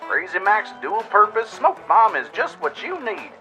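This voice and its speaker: A man voicing a manic wasteland arms dealer hawking wares in an over-the-top radio commercial, with loud, excitable patter. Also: high-pitched, unhurried, music in the background, pitched above the performer's own voice.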